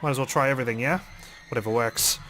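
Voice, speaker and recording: A man's voice: mid-range voice, fast, bright, forceful tone, slight background noise, poor audio. A man hosting a let's play, reading a visual novel aloud dramatically and commenting on it.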